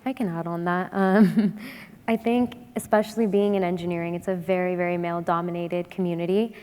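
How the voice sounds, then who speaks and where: A woman's voice: on the low side, fairly even intonation, a little fast, dark tone, clear, resonant tone. Firm, encouraging woman in a big hall. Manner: firm, encouraging, long flowing sentences. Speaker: panelist in engineering. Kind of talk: panel discussion on stage. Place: large hall stage. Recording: long reverb from a large hall.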